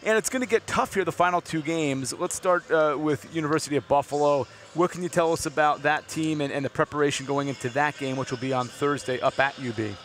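Quick-talking middle-aged sports host. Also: male voice, on the high side, fairly bright tone. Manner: brisk, well-prepared questions. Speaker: interview host. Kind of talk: postgame interview. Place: studio set in a gym, indoors. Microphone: headset mic.

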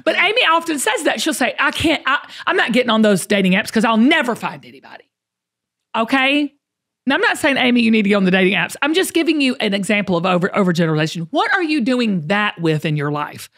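A woman's voice: fairly high pitch, big pitch swings, fast, fairly bright tone. A woman with a Southern US accent hosting a motivational talk podcast, emphatic and folksy, repeating phrases to drive them home. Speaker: show host.

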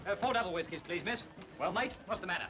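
A man's voice: high-pitched, animated, fast talker. A man acting a cheery, matey young in a 1940s British film. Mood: placating. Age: young adult. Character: cheery, matey young pub billiards player. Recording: old 1940s film soundtrack with music.

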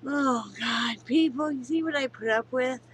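A woman's voice: high-pitched, normal speed, a little hiss or hum. Congested woman vlogging from her couch. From Wyoming, United States. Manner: candid, swears freely, turns somber and quiet. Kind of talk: casual home vlog. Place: living room at home, on a couch. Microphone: webcam at close range.

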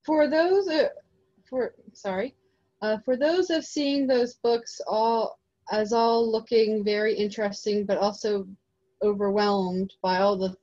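A woman casually moderating an online session, unscripted, with halting phrasing. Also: mid-range voice, steady tone, mellow. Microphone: video call. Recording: dull, lo-fi online call audio.

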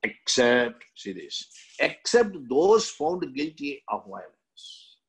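Middle-aged man, Indian accent, rough husky voice, unhurried pace, exam lecture, choppy emphatic phrasing. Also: mid-range voice, very animated, low-quality recording. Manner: emphatic, choppy phrases with frequent check-in tags. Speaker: exam coaching history teacher. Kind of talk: lecture explaining exam questions.

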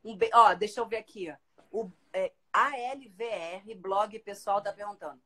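A woman's voice: medium pitch, moderate pace. Chatty woman hosting a phone livestream. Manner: chatty, lively, reads out comments. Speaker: livestream host. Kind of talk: casual live chat reading viewer comments. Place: living room at home. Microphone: phone camera at arm's length.

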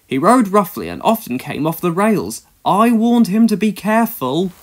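A proud, kindly old steam engine from a children's storybook, acted by a man reading aloud. He is fussy and grandfatherly, with old-fashioned phrasing and a very animated pitch. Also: moderate pace. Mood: stern warning.

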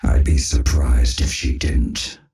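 An actor playing a grim, menacing mercenary villain with a gravelly growl and a deadpan delivery. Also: male voice, a bass voice, roomy sound, full character voice. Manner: slow, deadpan.

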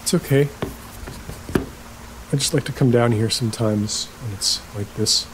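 A man's voice: mid-range voice, close to an everyday voice. A male actor plays an awkward, lonely middle-aged host in an audio drama. His mid-range voice stumbles and repeats as he overshares in an unsettlingly chatty way. Faint noise and ambience sit behind him. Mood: eerily chatty. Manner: halting, repeats himself, oversharing. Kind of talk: naturalistic audio drama acting.

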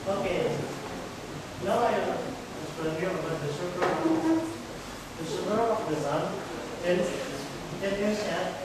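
A teenage male student with an Indonesian accent, giving a halting classroom presentation as he searches for words in English. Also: high voice. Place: school classroom, indoors. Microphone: distant camera. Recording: echoey noisy classroom from far off.

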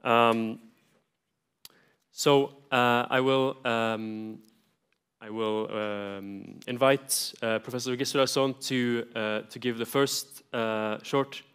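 Hesitant man moderating, very smooth clear voice. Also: medium pitch, steady tone, fairly bright tone. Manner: hesitant, halting. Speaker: moderator introducing speakers. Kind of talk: conference introduction. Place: conference hall stage.

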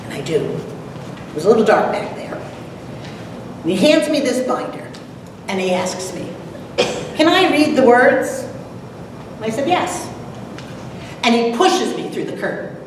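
An older woman tells a prepared anecdote to an audience, pausing deliberately for effect. She is heard from far off in a very echoey lecture room, with clear background noise. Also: on the high side, sing-song. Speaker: event co-host and dramatic reader. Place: lecture room, standing at a lectern. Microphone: far camera microphone.

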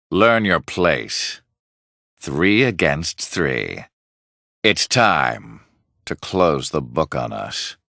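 A man's voice: extremely low pitch, gravelly, bright, forceful tone, no echo, clean studio sound, exaggerated voice. A man voicing an arrogant, cold video game supervillain with a god complex, menacing and condescending in clipped lines. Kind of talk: fighting game voice acting.

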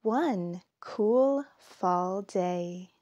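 A woman reading a children's picture book aloud, with deliberate phrasing and expressive emphasis. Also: mid-range voice, muffled recording. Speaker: storybook reader.

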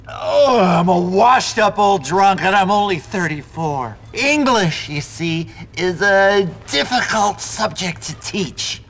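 A man's voice: high voice, a bit bright, exaggerated voice. A man playing a washed-up, tipsy English teacher in his thirties in a video game, theatrical and self-pitying. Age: 30s.

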